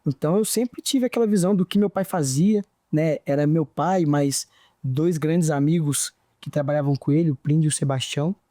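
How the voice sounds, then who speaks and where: A man's voice: a little high, normal speed, mellow, no echo, clean studio sound. A young man with a near-carioca accent from Santos Dumont, Minas Gerais, telling his story earnestly as a podcast guest. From Minas Gerais, Brazil. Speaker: guest, engineer. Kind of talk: interview answers, storytelling.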